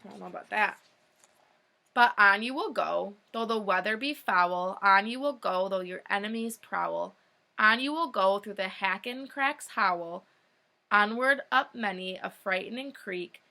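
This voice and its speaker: A woman's voice: mid-range voice, a little slow, vocal fry, sharp tone. A young woman, a teacher, reading a children's picture book aloud expressively, with short informal asides.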